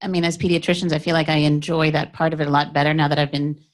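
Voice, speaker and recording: Low-voiced reflective woman pediatrician. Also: little pitch movement, racing. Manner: reflective, conversational. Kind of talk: discussion at a pediatric workshop.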